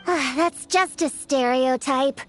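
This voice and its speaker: A woman's voice: high-pitched, drawn-out words, soft background music. A woman voicing a spirited young witch-hunter girl in a video game, proud, insistent and earnest, with a self-important, defensive way of talking. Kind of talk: animated video game acting.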